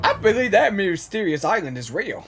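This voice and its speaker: A woman's voice: mid-range voice, very animated, normal speed, noisy background. A woman giving casual reaction commentary on a video game, giggly and full of exclamations. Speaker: gaming reaction video creator.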